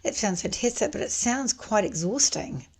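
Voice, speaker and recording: A woman hosting a podcast with a mid-range voice that is croaky and hoarse after a flu. She asks her questions and keeps encouraging the guest with warm praise and quick affirming replies. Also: mid-range voice, lively intonation. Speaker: podcast host. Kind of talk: casual interview conversation.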